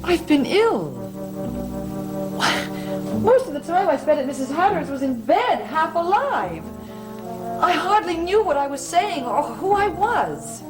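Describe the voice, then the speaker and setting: A woman's voice: very high-pitched, big pitch swings, measured pace, exaggerated voice. An actress playing an earnest, apologetic lady researcher, polite and imploring, in a melodramatic gothic soap opera. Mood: pleading. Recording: old TV soundtrack with music behind.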